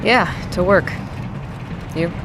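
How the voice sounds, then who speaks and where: A woman playing a shaken, inquisitive doctor in an apocalyptic audio drama, American, with a hesitant, tentative way of speaking. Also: slow. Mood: tentatively friendly. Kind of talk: naturalistic audio drama acting. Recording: loud vehicle engine rumble behind.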